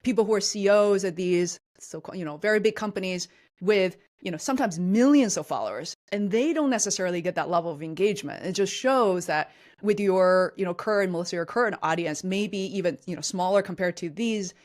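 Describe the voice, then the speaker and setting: A woman's voice: lowish voice, a little fast, a little mellow. Enthusiastic, rambling woman host. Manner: enthusiastic and rambling, with many "you know"s. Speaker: podcast host. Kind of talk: interview questions.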